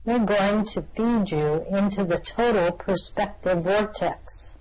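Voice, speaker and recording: A woman's voice: medium pitch, takes it slowly, darkish tone, natural voice, rough recording. A woman voicing a grim, worried alien fugitive. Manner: tense, ominous warnings. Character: anxious, grim male alien fugitive who knows the danger. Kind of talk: amateur radio play reading.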